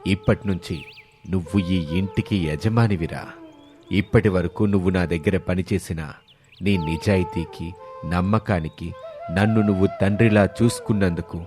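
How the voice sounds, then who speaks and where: A man voicing a kindly older landlord in an animated moral story, fatherly, affectionate and authoritative. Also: sits low, normal speed, over music, natural voice. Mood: earnest, affectionate.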